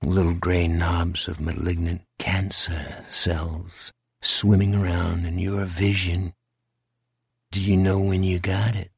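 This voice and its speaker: A man voicing a cold, taunting shadowy monster in a horror audiobook, speaking slowly and deliberately with menace. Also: low voice, level tone, creaky, breathy edge, dry recording, studio quality, voice pushed down, full character voice. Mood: sinister, taunting. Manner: slow, deliberate, coldly commanding.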